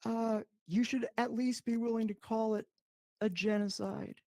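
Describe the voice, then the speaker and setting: Creaky-voiced woman debating haltingly. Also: fairly high pitch, steady tone, mellow, dry recording. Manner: halting, repetitive. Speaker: debate guest. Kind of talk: spontaneous debate.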